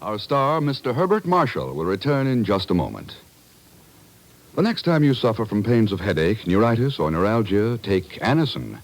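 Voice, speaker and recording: A man's voice: on the low side, very animated, moderate pace. A male radio announcer reading a scripted 1950s commercial in a polished, emphatic way.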